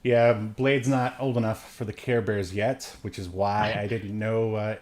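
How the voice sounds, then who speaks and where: A man in his late thirties co-hosting a podcast, chatting casually about films in a halting, rambling way with trailing asides. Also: lowish voice, flat delivery, a little mellow. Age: late 30s. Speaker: podcast co-host. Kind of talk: casual film review chat.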